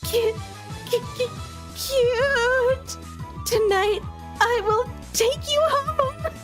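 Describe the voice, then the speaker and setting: A woman voicing a sweet, excitable teenage anime girl, with a gushing, cutesy manner, reading a visual novel aloud. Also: extremely high pitch, drawn-out words, soft background music, voice pushed up, exaggerated voice. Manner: squealing, gushing, pleading.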